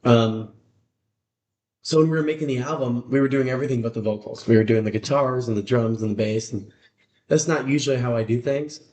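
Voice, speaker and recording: A man with a raspy voice, a musician guest chatting casually on a podcast, rambling with lots of fillers. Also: mid-range voice, a little fast, darkish tone. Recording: roomy echo.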